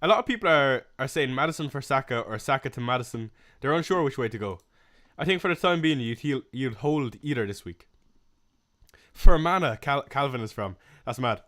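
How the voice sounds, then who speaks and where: A man's voice: medium pitch, lively intonation, fairly quick. Laid-back young Irish man from Waterford. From Ireland. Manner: chatty, laid-back, frequent fillers and soft laughs. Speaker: fantasy football livestream host. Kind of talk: casual live-stream chat reading comments.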